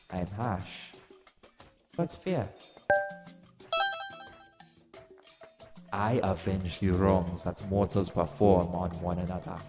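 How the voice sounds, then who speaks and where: A man playing a cruel, vengeful god in an audio roleplay, grand, deliberate and menacing as he addresses a mortal. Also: low-pitched, gravelly, a little mellow, heavily acted. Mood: stern, proud. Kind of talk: theatrical audio roleplay monologue. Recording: long cavernous reverb. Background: music.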